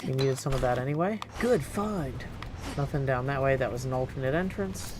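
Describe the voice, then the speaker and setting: Male game streamer, medium pitch, offhand running commentary, talking choices through to himself, noticeable background game sound. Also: lively intonation, normal speed. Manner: thinking aloud, muttering decisions to himself. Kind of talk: casual live gameplay commentary. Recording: clear background game noise.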